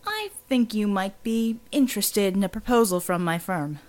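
A woman voicing a smug male mercenary recruiter in an audiobook, with insinuating, smooth sales patter. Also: mid-range voice, lively intonation, moderate pace, darkish tone, natural voice. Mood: ingratiating.

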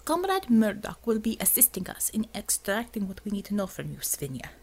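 A woman's voice: mid-range voice, big pitch swings, fairly quick, a little mellow, husky. A woman voicing a domineering female commissar with a Russian accent and a clipped, contemptuous manner. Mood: cool authority.

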